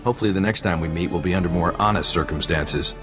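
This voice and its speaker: A man's voice: deep voice, fast, close to an everyday voice. A man playing a friendly, easygoing military agent in a video game, with a polite manner. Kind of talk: video game cutscene acting. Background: music.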